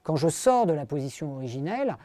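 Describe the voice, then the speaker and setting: Man, middle-aged, philosophy lecturer, hesitant and explanatory, warm mellow tone, dry sound. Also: on the high side, big pitch swings, no room sound. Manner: hesitant, full of pauses and fillers; explanatory, addresses listeners directly. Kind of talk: academic lecture to an audience.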